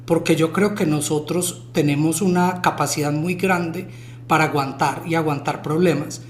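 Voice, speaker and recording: A man's voice: on the high side, reverberant, a little hiss or hum. A man from Colombia co-hosting a podcast, cutting in with firm opinions and using "permitime". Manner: opinionated, interjecting, uses voseo. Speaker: podcast co-host. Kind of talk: casual debate.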